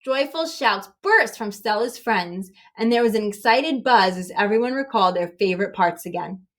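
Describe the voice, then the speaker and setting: A woman's voice: mid-range voice, expressive. A woman reading a children's story aloud expressively and emphatically, as if to young listeners. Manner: emphatic, acts out dialogue, pauses to address young listeners.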